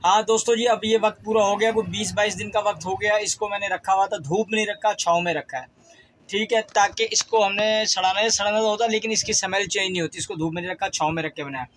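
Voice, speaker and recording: Casting: male Pakistani host, high voice, casual how-to delivery, drives points home by repetition, some noise behind. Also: steady tone, fast talker, a bit bright, low-quality recording. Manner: repeats phrases for emphasis. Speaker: fishing channel host. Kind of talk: casual instructional talk to viewers. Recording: clear background noise.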